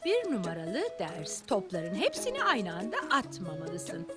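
Helpful kids cartoon teacher, bouncy medium voice, explains step by step, loud music. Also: medium pitch, huge pitch swings, measured pace. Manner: instructive, step by step. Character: friendly helpful cartoon teacher giving juggling lessons. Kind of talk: children's cartoon dub. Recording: music playing behind the voice.

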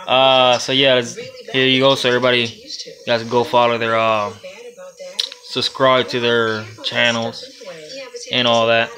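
A man's voice: mid-range voice, completely flat delivery, unhurried, long pauses, bright, forceful tone. Loose-talking Puerto Rican young man. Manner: loose and rambling, with laughs and swearing. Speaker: reptile breeder and vlogger. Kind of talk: casual how-to vlog. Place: indoor reptile room lined with storage tubs. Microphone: phone held close at arm's length.

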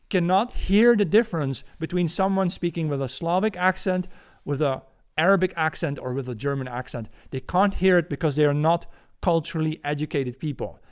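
Ranting Dutch-accented man, higher voice. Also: a little mellow, smooth. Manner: indignant, ranting, gesturing with his hands. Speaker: podcast host. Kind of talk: political commentary monologue. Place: home room, indoors. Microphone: studio mic on a boom arm.

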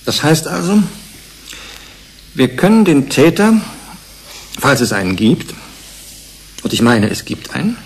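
An actor playing a blunt, analytical investigator in a German radio play, reasoning deliberately and emphatically. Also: male voice, on the high side, big pitch swings, breathy edge, heavily acted, poor audio. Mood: deducing, convinced. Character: blunt, analytical male investigator on a research committee. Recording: 1980s radio play, faint noise, ambience.

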